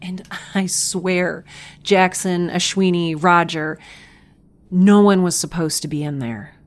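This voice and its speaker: A woman playing an earnest, defensive scientist in an audio drama, talking in a sincere, halting, wry way. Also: fairly low pitch. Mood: pleading. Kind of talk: audio drama acting, conversational.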